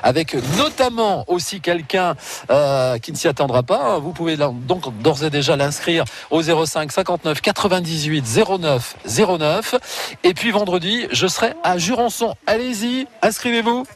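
A man's voice: a little high, expressive, moderate pace. A man working as a roving radio reporter, chatting live with people at a town fair. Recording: light background noise at a fair.

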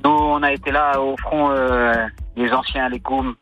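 A man calls into a radio show and makes his point in a calm, earnest way. His voice sits in the middle range. Faint music plays under him. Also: mid-range voice, measured pace, highs cut off. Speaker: caller.

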